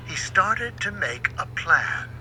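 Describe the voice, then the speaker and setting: A man narrates a children's storybook in a very bright, forceful voice. His delivery is unhurried and dramatic, with big swings in pitch. There is faint noise behind him in what sounds like a home recording. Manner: expressive, dramatic read-aloud delivery. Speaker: book narrator.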